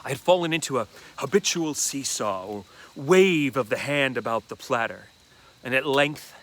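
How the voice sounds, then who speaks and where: A man reading a classic horror story aloud as dramatic first-person narration, deliberately and with dramatic pauses. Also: mid-range voice, a bit bright.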